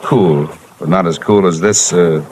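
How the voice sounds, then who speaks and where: An actor playing a wry, hard-boiled insurance investigator who narrates and trades dry wisecracks in a 1950s radio drama. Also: male voice, low voice, a little slow, a little mellow. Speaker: narrator and lead. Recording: hissy old radio broadcast.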